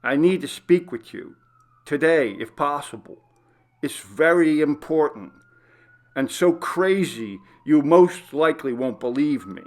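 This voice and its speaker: A man's voice: a little high, lively intonation, unhurried, darkish tone, voice pushed up. A man voicing a frantic, desperate prisoner who pleads emphatically in a storybook reading. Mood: nervous, urgent. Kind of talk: audiobook character acting.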